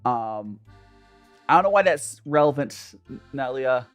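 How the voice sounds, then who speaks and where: A man's voice: medium pitch, expressive, measured pace, soft background music. A young man from Southern California with a Southern Californian accent, chatting casually with viewers while drinking tea, rambling and self-mocking. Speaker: streamer hosting a tea chat. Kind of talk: casual livestream chat with viewers.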